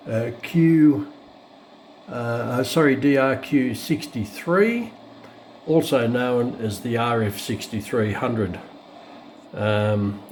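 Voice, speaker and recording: An Australian man giving a casual, unscripted walkthrough as a hobbyist presenter, hesitant and pausing often. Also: mid-range voice, a little mellow, a little hiss or hum, rough recording. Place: indoors.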